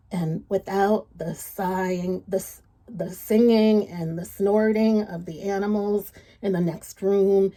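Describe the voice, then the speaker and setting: A woman reading a story aloud for children in an expressive, playful, dramatic way, putting questions to her listeners. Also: medium pitch. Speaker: storybook reader.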